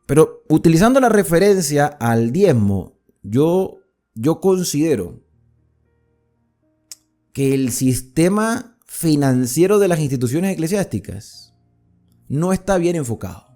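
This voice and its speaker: Man, Venezuelan accent, Bible teacher, warm mellow voice, lively rising and falling pitch, repeats points for emphasis. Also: takes it slowly, faint music behind. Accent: Venezuelan, from near the Colombian border. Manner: conversational, asks and answers his own questions, repeats points for emphasis. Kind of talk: explanatory Bible study talk.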